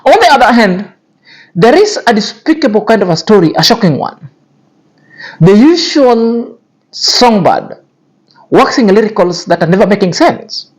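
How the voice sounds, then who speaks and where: A man's voice: sits high, big pitch swings, a little slow, long pauses, rough recording. A man hosting a commentary channel, talking in a cutting, repetitive, lecturing way. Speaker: YouTube commentary host. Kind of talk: scornful commentary on influencer drama.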